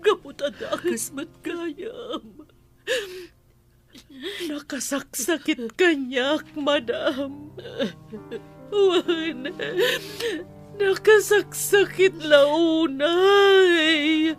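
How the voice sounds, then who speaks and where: A woman playing a grieving, pleading mother and servant in an emotional radio drama, with a tearful, halting delivery. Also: sits high. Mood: tearful anguish. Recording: music bed behind the voice.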